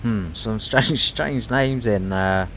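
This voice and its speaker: Male sim streamer, low-voiced, thinks out loud and chats casually; faint background hum. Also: slow pace. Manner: chatty, musing aloud with frequent fillers. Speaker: flight simulator streamer. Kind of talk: casual live-stream commentary.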